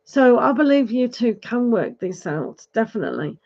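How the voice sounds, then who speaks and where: A woman giving a live tarot reading, advising gently with many fillers, her voice going hoarse. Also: a little high, big pitch swings, normal speed, highs cut off. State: losing her voice, clearing throat. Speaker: tarot reader.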